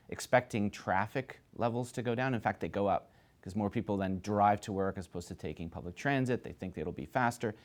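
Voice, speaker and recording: A man hosting a TV panel discussion, explaining things in a measured way. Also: on the low side, darkish tone. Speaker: host and moderator of a TV talk show.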